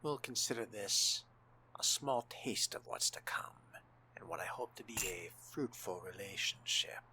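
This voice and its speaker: A man voicing a composed, haughty young vampire in a role-playing game, speaking formally and deliberately with wry theatricality. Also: lowish voice, lively intonation, background noise. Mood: suave. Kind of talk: in-character gameplay narration.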